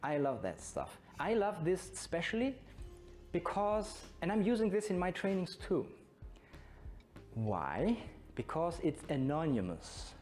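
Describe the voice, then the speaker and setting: A man's voice: a little high, big pitch swings, unhurried, darkish tone, soft background music. A middle-aged man with a German accent giving an informal conference talk, often putting questions to his listeners. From born in Belgium, studied in Germany, long in Brazil. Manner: conversational, engaging the audience with questions. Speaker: Scrum trainer and conference speaker.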